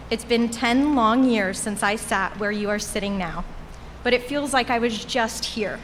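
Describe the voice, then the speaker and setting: A woman's voice: fairly high pitch, a bit bright. A woman in her late twenties whose first language is Portuguese, giving an earnest, heartfelt graduation speech from a podium. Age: late 20s. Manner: earnest, heartfelt, measured delivery. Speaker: graduation speaker. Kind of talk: commencement speech. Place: graduation ceremony venue. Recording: reverberant hall with faint ambient noise.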